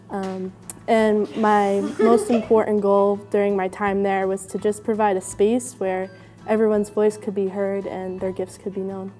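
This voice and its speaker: Young woman, warm mellow testimonial. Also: medium pitch. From Maine, US. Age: young adult. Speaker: volunteer. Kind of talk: testimonial interview.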